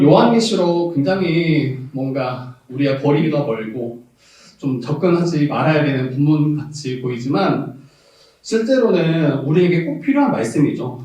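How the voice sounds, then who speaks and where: Pastor with a Jeolla accent in an echoey church. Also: male voice, on the high side, mellow. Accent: Jeolla dialect. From South Jeolla, Korea. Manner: candid, reminiscing, laughs often, rises to emphasis. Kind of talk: sermon. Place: church sanctuary. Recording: far-off echoey church audio.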